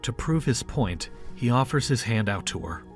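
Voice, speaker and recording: A man's voice: medium pitch, animated, normal speed, faint music behind. A man reading scripted third-person narration as the storyteller of an audio drama.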